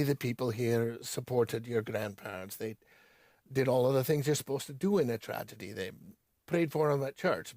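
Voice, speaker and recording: An actor playing an earnest, sympathetic small-town bookseller in an audio drama, speaking in a measured, deliberate way with pauses. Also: male voice, mid-range voice, darkish tone, no room sound, clean studio sound, lightly acted.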